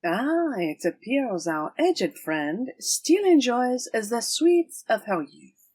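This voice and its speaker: A woman's voice: fairly high pitch, big pitch swings, slow, darkish tone, husky, no room sound, full character voice. A woman playing a proud, teasing swashbuckling swordswoman in an audio drama, with a theatrical, imperious manner. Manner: theatrical, formal phrasing, imperious.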